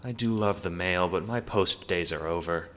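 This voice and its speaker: A man's voice: deep voice, normal speed, a bit bright, in a large hall. A man voicing a tired, wary, downtrodden woman, a former postmistress, in a matter-of-fact, unimpressed manner for an audio drama. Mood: resigned. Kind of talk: audio drama character acting.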